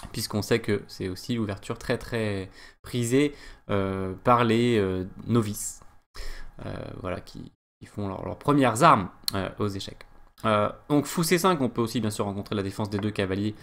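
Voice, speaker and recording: A French man walks through a chess game as a teacher, voice a little low. He talks hesitantly with frequent fillers and drifts into chatty asides. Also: moderate pace, a bit bright. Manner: hesitant, many "euh" fillers; chatty digressions. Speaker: chess coach. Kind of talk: chess game analysis lesson.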